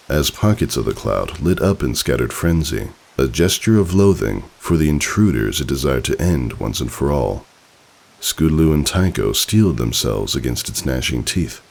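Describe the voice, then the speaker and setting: A man reading a story aloud as narrator, in an expressive, theatrical, dramatic style. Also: very deep voice, normal speed, creaky. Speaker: fan fiction narrator.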